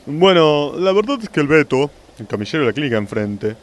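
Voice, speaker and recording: Man as a pompous, animated club president. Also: fairly high pitch, a little fast. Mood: confessing. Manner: pompous, wordy. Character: pompous, stubborn male football club president. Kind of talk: comic radio play acting.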